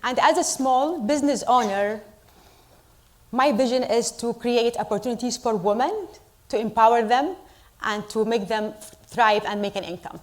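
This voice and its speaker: Middle-aged Iraqi-born woman, fairly high voice, earnest deliberate speech to a crowd, English. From Iraq. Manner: earnest, deliberate, with pauses for emphasis. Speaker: storyteller at a community event. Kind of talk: speech to a live audience.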